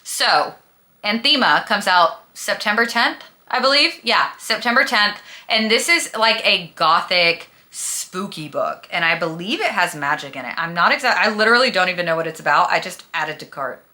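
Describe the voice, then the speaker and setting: A woman's voice: fairly low pitch, lively intonation, normal speed, bright, forceful tone. A woman talking casually as a book vlogger, with a gushing, emphatic, dramatic and slangy manner. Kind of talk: casual chatty book review vlog.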